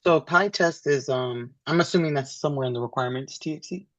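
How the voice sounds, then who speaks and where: A man learning as a student, thinking aloud haltingly as he reads through material and checks his understanding. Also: on the high side, a bit bright, dry recording, muffled recording. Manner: tentative, halting, asks to confirm understanding. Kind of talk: thinking aloud while reading through code.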